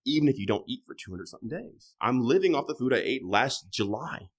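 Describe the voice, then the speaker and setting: Animated thirty-something man, loose and profane. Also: mid-range voice, a little fast. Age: 30s. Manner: loose, profane, swinging between loud emphatic bursts and quiet trailing asides. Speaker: podcast guest. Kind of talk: casual conversation.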